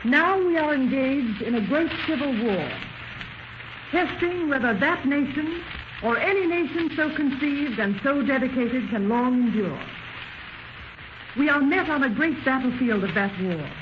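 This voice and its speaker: A woman reciting formally in a measured, declamatory manner on 1930s radio. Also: high-pitched, expressive. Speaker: actress demonstrating microphones. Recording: noisy muffled 1930s radio recording.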